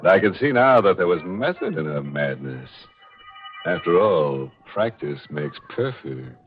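A man's voice: sits low, big pitch swings, a little slow, soft background music. A man hosting a 1940s radio mystery show in a theatrical, tongue-in-cheek, macabre manner. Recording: muffled vintage radio broadcast.

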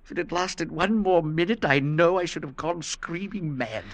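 An actor playing a panicky, haunted professor, halting and agitated, in a late 1940s radio thriller. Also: male voice, fairly high pitch, natural voice. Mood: horrified, revolted. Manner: agitated, halting, repeating words. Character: haunted, panicky male professor, high-strung and revolted. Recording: vintage radio broadcast with music.

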